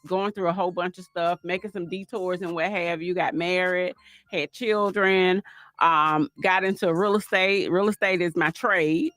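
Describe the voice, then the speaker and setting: A woman's voice: fairly low pitch, steady tone, moderate pace, a bit bright, no room sound. A middle-aged woman from Alabama, telling homey stories as an interview guest. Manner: homey, laughing storyteller. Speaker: author and guest. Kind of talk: casual interview storytelling.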